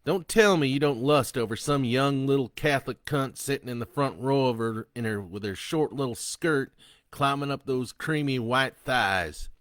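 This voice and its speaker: A man's voice: medium pitch, normal speed, a bit bright, dry recording, studio quality, voice pushed up, close to an everyday voice. A man voicing a crude, gloating male killer on death row who mocks a priest. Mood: leering. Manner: taunting, vulgar, mocking.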